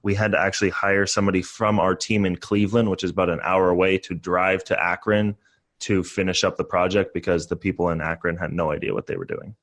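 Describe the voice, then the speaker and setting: A man's voice: low voice, steady tone, fairly quick, a bit bright. A young man in his twenties, a real estate investor guest, talking casually and upbeat with many fillers. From born in Canada, raised in the US. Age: 20s. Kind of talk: casual podcast interview.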